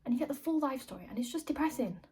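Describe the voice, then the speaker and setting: A woman's voice: high-pitched, animated, very fast, warm tone. An actress playing an agitated, chatty woman fed up with pestering strangers, in a blunt, rambling contemporary stage monologue. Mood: exasperated.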